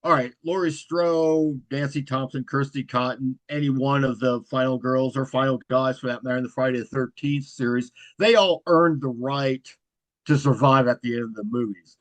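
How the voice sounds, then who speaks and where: A man talks as a podcast guest in an easygoing, level delivery that he calls monotone. His voice sits at a middling pitch. The sound is close and dry, with no room around it. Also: moderate pace, no room sound. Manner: self-described monotone, even delivery. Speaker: guest, horror content creator. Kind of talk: casual interview chat.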